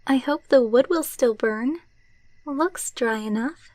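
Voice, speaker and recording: A woman playing a gentle, wistful vampire girlfriend in an ASMR roleplay, speaking softly and tenderly. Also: sits high, no echo. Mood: wistful, nostalgic. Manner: soft, slow, tender, wistful delivery.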